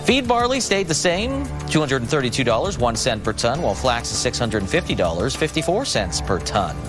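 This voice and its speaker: A man's voice: mid-range voice, very animated, moderate pace, music in the background. A man anchoring a local evening newscast, reading stories and market prices. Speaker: news anchor.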